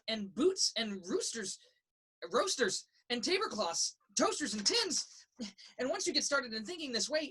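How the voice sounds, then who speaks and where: A woman reading a children's picture book aloud in a sing-song, rhythmic way, with a slightly husky voice. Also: on the high side, bright, forceful tone. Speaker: story reader. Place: indoors in a children's library.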